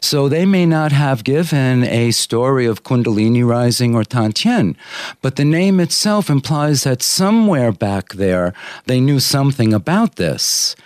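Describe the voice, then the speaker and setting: A man whose first language is Greek, a yoga teacher talking at length in an interview about anatomy and yoga with a measured, explanatory manner. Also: mid-range voice, big pitch swings, a little mellow, no room sound. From Greek family. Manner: measured, explanatory, with many uh fillers.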